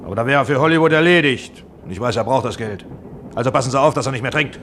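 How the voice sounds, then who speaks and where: Ein Schauspieler spielt in einem deutschen Hörspiel einen herrischen, ungeduldigen Filmproduzenten, knapp und umgangssprachlich. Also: male voice, medium pitch, fast talker, a bit bright, slight background noise, close to an everyday voice. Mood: stern, threatening. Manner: curt, colloquial, commanding. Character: bossy, impatient, blunt Hollywood film producer. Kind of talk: German radio drama acting.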